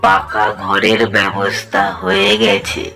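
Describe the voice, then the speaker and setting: A man's voice: low voice, sing-song, takes it slowly, creaky, exaggerated voice. A man voicing a cunning, reassuring monkey husband and father in a children's cartoon. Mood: reassuring, scheming. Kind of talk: cartoon dialogue. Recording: loud music behind the voice.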